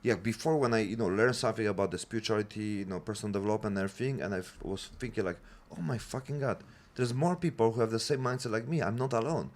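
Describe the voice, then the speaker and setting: A man hosting a podcast, talking in animated, broken English and swearing casually. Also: fairly low pitch, fast, hardly any pauses. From Poland. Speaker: podcast host. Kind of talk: casual conversation.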